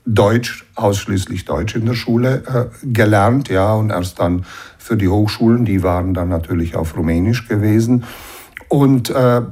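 Husky low-voiced man in his sixties. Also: darkish tone. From Banat, western Romania. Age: 60s. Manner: measured, explanatory, repeats "ja" as a tag. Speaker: interview guest, academic. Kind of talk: explanatory interview answers, personal recollection.

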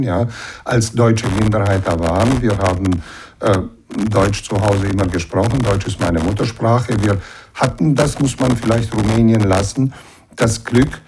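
A middle-aged man from western Romania's Banat region speaks in a fairly low voice, working through his answers patiently and explaining as he goes. Ums and ers thread through his sentences. A steady hiss of noise sits under the recording. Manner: measured, explanatory, with frequent fillers. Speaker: interview guest. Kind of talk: reflective interview answers.

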